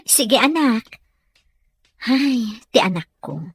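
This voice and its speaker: High, lively, gentle caregiver mother for radio drama, played by a woman; dry close sound. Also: slow, breathy edge, dry recording, exaggerated voice. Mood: tender. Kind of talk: Ilocano audio drama acting.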